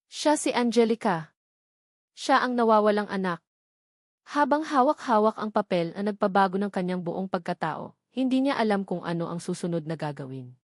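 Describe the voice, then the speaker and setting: A woman reading a scripted romantic drama story as narrator in a steady, even manner. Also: medium pitch, no echo, clean studio sound.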